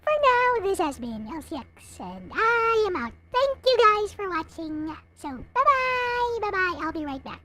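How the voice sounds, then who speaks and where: A woman's voice: very high-pitched, sing-song, a little slow, hardly any pauses, very noisy. A woman livestreamer chatting casually to her viewers in a hesitant, rambling way. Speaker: live streamer, host.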